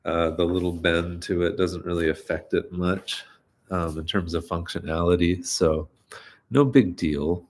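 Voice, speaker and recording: A man's voice: low-pitched, unhurried. A man reading stories aloud on a live stream, chatting loosely between readings, a bit stuffy and nasal. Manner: rambling, relaxed, many fillers. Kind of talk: live-streamed story reading with casual chat.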